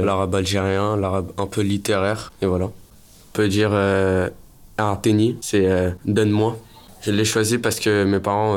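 Young guy giving his testimony, lowish voice, barely moves in pitch. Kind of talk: personal testimony.

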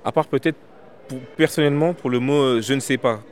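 A man's voice: mid-range voice, a little fast, slight background noise. A man reading out questions and answering them as an interviewee, in a casual, hesitant way.

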